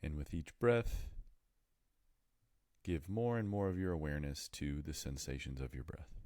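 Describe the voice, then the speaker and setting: A man leading a guided meditation, speaking in soothing, measured phrases with deliberate pauses. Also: very deep voice, lively intonation, a little mellow, dry recording.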